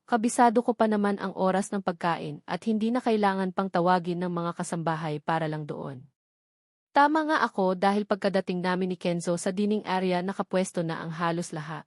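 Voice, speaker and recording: A woman's voice: mid-range voice, no room sound, studio quality. A woman with a Filipino accent reading a first-person novel as a scripted narration. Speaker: audiobook narrator.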